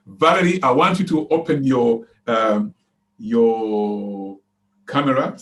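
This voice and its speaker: A man hosting an online seminar interview, enthusiastic and halting with fillers. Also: medium pitch, poor audio. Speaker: seminar host. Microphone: video call.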